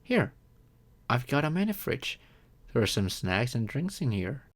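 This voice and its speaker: A man playing a wealthy, grateful vampire in an ASMR audio roleplay, speaking in a gentle, courteous and measured way. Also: mid-range voice, very animated. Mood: gentle, doting. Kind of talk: slow intimate ASMR roleplay monologue.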